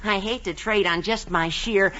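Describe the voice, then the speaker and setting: A performer playing a naive, lovesick teenage schoolboy with an American accent and gawky earnestness in a 1940s radio comedy. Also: female voice, medium pitch, normal speed, pushed and bright, lightly acted. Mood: earnest. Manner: gawky, gee-whiz earnestness. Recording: dull old 1940s radio recording.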